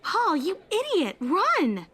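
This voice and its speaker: A woman playing a protective high school club leader who is caring and secretly anxious, in a fan-made visual novel dub. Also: wildly swooping pitch, slow pace, bright, forceful tone, heavily acted. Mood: defiant, alarmed.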